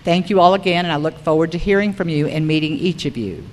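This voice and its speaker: A woman's voice: low-pitched, quick pace. A woman moderating a public forum, reading prepared material aloud to the room. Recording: reverberant hall recording.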